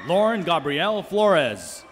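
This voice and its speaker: A man's voice: sits high, big pitch swings, takes it slowly, faint music behind. A man announcing graduates' names one after another at an outdoor commencement. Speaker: commencement name reader. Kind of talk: reading graduates' names at a ceremony.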